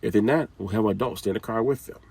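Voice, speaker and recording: A man's voice: fairly low pitch, quick pace, gravelly, a little mellow, breathy edge, no echo, recorded from a distance. A man giving an informal, scolding talk with casual slang, often repeating his phrases.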